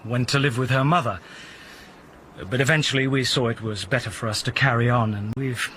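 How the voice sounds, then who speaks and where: An actor playing a former schoolteacher answering a police inspector with frank, rueful candor. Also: male voice, medium pitch, fairly even intonation, a little fast, fairly bright tone, a little hiss or hum, close to an everyday voice.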